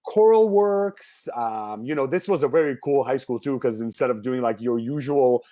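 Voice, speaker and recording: A man's voice: mid-range voice, moderate pace, dark tone. A man, a guest singer telling his life story in a casual, candid, rambling interview. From Israel. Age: 30s.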